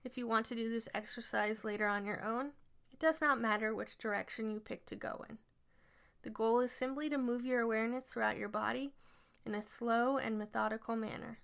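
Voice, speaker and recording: A woman leading a scripted guided relaxation exercise as an instructor, speaking in measured, instructional phrases. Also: fairly high pitch, fairly even intonation, gravelly, fairly bright tone, smooth.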